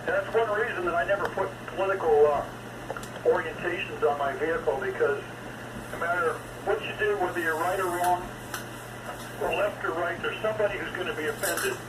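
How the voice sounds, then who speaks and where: A man's voice: high-pitched, a little fast. An older man chatting casually and in a folksy, rambling way over a single sideband ham radio link. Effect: shortwave single sideband radio. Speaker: amateur radio operator. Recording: noisy, echoey, distant single sideband radio reception.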